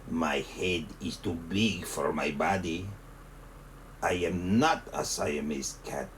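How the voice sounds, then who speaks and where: A man voicing a pompous kitten posing as a bold Chihuahua hero, softly, with a Spanish accent. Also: extremely low pitch, creaky, a little hiss or hum, voice pushed down, full character voice. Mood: dramatic. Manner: pompous, soft, slow.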